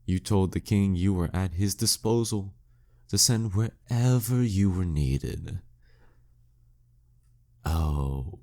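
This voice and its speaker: A man's voice: sits low, dark tone. A man playing a proud, dominant demon king in an audio roleplay, teasing and condescending in an intimate scripted monologue. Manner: slow, teasing, condescending, smugly theatrical. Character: dead male demon king, taunting, dominant, proud former nemesis.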